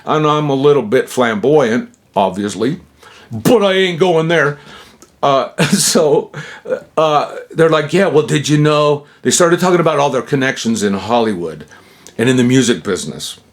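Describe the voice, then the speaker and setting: A man's voice: medium pitch, expressive, measured pace. Rambling older man telling a story. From Utah. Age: 60s. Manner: digressive, self-interrupting, chuckling; tosses in slangy asides. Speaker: vlogger.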